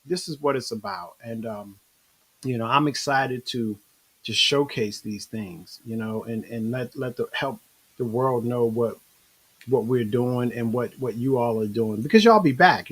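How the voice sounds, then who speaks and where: A man hosts a relaxed online interview over a video call in a casual, conversational way. He keeps the talk loose and friendly, dropping in bro and you know as he goes. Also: medium pitch, a little slow, husky. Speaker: online show host.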